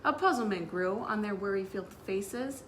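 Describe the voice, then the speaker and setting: A woman's voice: mid-range voice, moderate pace, fairly bright tone, a little hiss or hum. A woman speaking as a children's librarian, hosting story time with announcements and read-aloud for young listeners.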